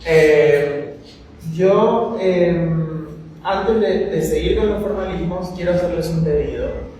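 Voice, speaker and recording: A man with a dark, mellow voice opens a gallery talk, hesitant and full of fillers. He sounds far from the mic in a long, echoey hall with faint noise behind. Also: high-pitched, takes it slowly. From migrant living in Madrid. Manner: rambling, many fillers, asks the audience to join in. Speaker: curator and moderator. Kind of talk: opening a panel talk.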